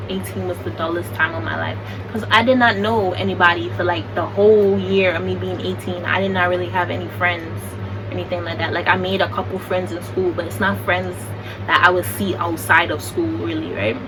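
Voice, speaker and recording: A young American woman telling a story in a chatty, animated, slangy way. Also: on the low side, a little fast. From United States. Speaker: vlogger. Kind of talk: casual storytime. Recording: distant mic with background noise.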